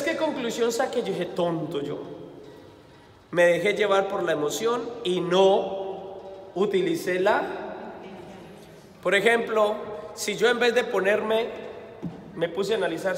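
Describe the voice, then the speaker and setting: A man with a Colombian accent, a priest giving a homily at mass. He is lively and keeps asking the congregation questions, then answering them himself, and he tells stories from his own life. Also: high voice, unhurried, pauses often. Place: church. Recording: echoey church hall, faint background noise.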